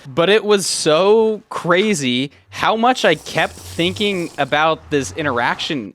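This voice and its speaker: A young man in his twenties with a noticeably high voice talks like a YouTuber sharing a personal story. He rambles conversationally and often quotes his own thoughts. There is clear noise behind his voice. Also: a bit bright. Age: 20s. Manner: rambling, conversational, frequent self-quoting.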